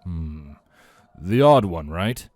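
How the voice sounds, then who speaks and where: A gruff stallion captain, voiced by a man reading aloud, speaks in a low register with a deadpan, dryly mocking manner. The sound is close and dry, like a storybook told right at the mic. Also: takes it slowly, no room sound, heavily acted.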